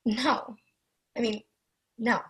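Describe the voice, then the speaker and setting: An actress playing a sharp, defensive middle-aged wealthy wife, sardonic and challenging, in an online screenplay reading. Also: female voice, fairly high pitch, level tone, slow pace. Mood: curious, guarded. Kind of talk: online screenplay table read.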